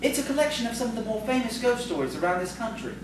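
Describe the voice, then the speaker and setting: Echoey, animated, courtly schoolmaster acted by a woman. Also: on the high side, quick pace. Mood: eager, informative. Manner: hesitant, courtly, formal. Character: polite, eager male schoolteacher, flattering and bookish. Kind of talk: audio theatre acting. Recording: distant, very echoey, noisy stage recording.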